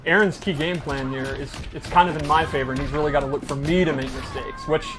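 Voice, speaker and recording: A man's voice: a little high, wildly swooping pitch, quick pace. A man giving casual video game commentary while he plays along. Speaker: commentator and player. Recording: loud game music behind speech. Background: fighting game music and sounds.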